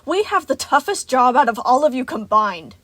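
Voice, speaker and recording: A woman's voice: on the high side, moderate pace, no room sound, close to an everyday voice. A woman voicing a frantic, unhinged male animatronic babysitter, ranting in stammering bursts in an audiobook-style story. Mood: indignant, frantic.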